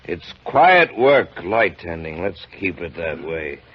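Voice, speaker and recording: Narrator puts on terse, deep-voiced brute of a keeper, unhurried, dull vintage broadcast. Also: male voice, very animated, exaggerated voice. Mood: gruff. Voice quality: gruff, curt. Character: big silent gruff lighthouse head keeper. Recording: dull old radio broadcast.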